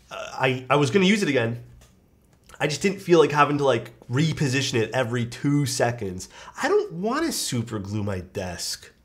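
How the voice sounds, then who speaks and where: A young man with a stuffed-up, nasal voice, chatting casually on a live stream while he builds a keyboard and reads out chat. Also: medium pitch. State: stuffed-up nose.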